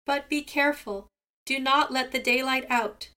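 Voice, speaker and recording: A shrill, whining old seagull, played by a woman storyteller squawks the same complaints over and over. His voice climbs high and strains. He is a fussy, self-pitying old bird from a storybook. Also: normal speed, sharp tone. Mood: urgent. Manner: wailing, squawking, repeating complaints.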